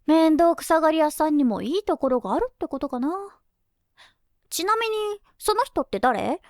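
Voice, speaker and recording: A woman's voice: high-pitched, very animated, moderate pace, no room sound, studio quality. A woman playing a confident, teasing teenage schoolgirl idol in an anime-style Japanese voice drama, with poised, coaxing feminine speech. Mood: teasing, friendly. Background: light tinkling children's music.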